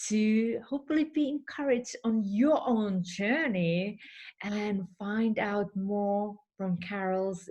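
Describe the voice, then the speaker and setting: A woman hosting an interview show, speaking in a gentle, encouraging way. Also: mid-range voice. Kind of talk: scripted show intro and interview.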